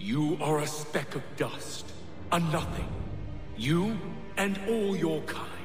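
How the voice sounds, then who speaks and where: A man's voice: on the high side, expressive, slow pace. An actor playing a menacing, contemptuous villain in a video game, haughty and grandiose as he belittles humankind. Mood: scornful. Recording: echoey, long reverb, music behind.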